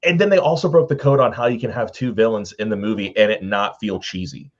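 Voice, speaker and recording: Fast-talking man, slightly dull lo-fi recording. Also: fairly low pitch. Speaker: panelist. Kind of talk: casual pop-culture commentary.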